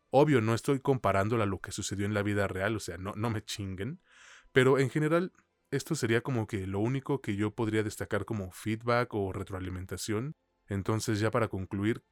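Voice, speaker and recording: A man's voice: on the low side, no echo, clean studio sound. A man with a Mexican accent co-hosting a podcast, reviewing films in casual, slangy talk. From Latin America. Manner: slangy, colloquial. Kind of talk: casual film review chat.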